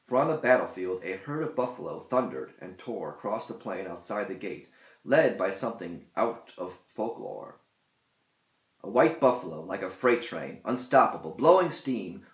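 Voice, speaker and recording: Male narrator, theatrical verse storytelling, very unhurried, roomy and echoing recording. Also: on the low side, lo-fi sound. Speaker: narrator, author reading own verse epic. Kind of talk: dramatic scripted verse narration.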